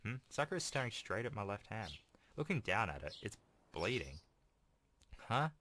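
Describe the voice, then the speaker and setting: A man's voice: lowish voice, very animated, moderate pace, sharp tone. A man hosting a gaming video, reading a visual novel aloud in a casual way with informal offhand commentary. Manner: informal, makes offhand asides between read passages. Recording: game music playing behind the voice. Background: game music.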